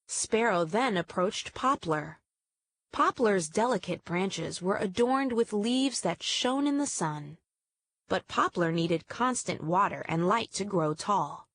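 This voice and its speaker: A woman storyteller reading a scripted children's story aloud in deliberate, measured phrases. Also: medium pitch, dry recording.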